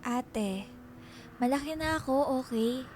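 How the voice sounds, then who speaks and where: A woman's voice: sits high, slow pace, noisy background. A woman acting a defiant, hard-headed schoolgirl younger sister in a Filipino radio drama, curt and sassy. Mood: irritated, defiant.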